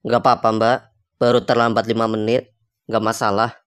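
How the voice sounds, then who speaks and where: A man's voice: fairly low pitch, level tone, fairly quick, clean tone, lightly acted. A man voicing a young, easygoing but jumpy hotel guest in a cartoon, speaking casual slang.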